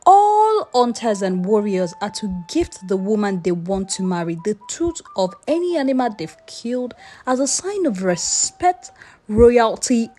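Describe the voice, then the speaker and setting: Female storyteller for folktales, theatrical and expressive, taking her time, with swooping intonation. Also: mid-range voice. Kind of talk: dramatic folktale narration.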